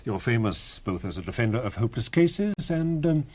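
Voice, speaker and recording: An actor playing a courteous, authoritative senior police commissioner in a radio drama, with a British accent and a measured, politely probing manner. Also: male voice, medium pitch, very animated, darkish tone, slight background noise, close to an everyday voice.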